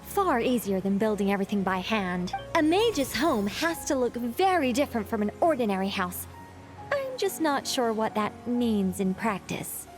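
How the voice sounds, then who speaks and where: A woman's voice: fairly high pitch, sing-song, moderate pace, faint music behind. A woman voicing a whimsical, self-important female mage in a video game, theatrical, musing and grandly commanding. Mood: pensive, self-absorbed.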